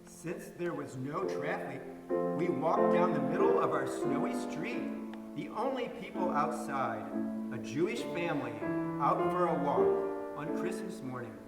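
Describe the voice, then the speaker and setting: A man's voice: a little high, lively intonation, a little slow, loud music behind the voice. Man reading a story, distant and echoey. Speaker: reader in a church staff dramatic reading. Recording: echoey hall, distant mic.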